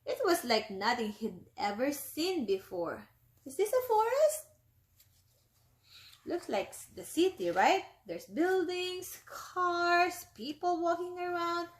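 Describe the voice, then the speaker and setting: A woman's voice: very high-pitched, big pitch swings, slow pace. A woman, a teacher, reading a picture book aloud to young children, often stopping to explain words and ask them questions.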